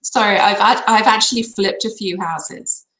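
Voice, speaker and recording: A woman's voice: mid-range voice, moderate pace, a bit bright. A woman speaking casually as a guest on a livestream. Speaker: guest having a reading. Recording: roomy, echoing call audio.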